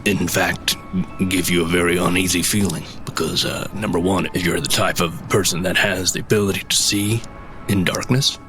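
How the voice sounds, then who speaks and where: An actor playing a shy, halting mushroom forager in a fantasy audio drama. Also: male voice, sits low, fairly even intonation, fairly bright tone, soft background music. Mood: earnest explaining. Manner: hesitant, halting, not much for talking.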